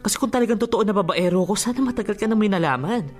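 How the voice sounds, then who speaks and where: A man's voice: sits high, racing, natural voice. Man acting a pleading young boyfriend over music. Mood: defensive, pleading. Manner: coaxing, pleading, affectionate. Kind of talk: radio drama acting.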